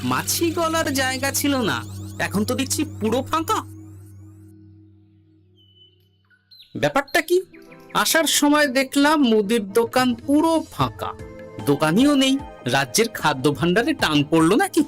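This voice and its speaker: A woman's voice: high-pitched, sing-song, normal speed. A woman voicing a witty, self-important male court jester in a comic cartoon, with theatrical, wry delivery. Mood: puzzled. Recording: music behind the voice.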